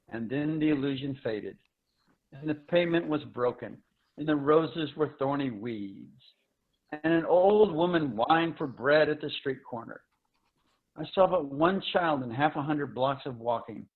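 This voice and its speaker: Casting: man as resolute sci-fi statesman, somewhat high-pitched, solemn and measured, heard over dull lo-fi call audio. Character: grave, resolute male leaders of a dying future Earth. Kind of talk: dramatic reading of science fiction. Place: online video call reading.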